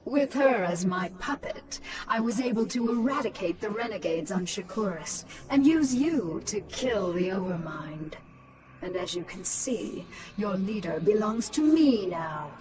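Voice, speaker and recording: A woman's voice: mid-range voice, expressive, measured pace, recorded from a distance, a crowd in the background, close to an everyday voice. A woman playing a cruel, smug alien queen in a video game, taunting and commanding. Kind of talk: dramatic video game acting.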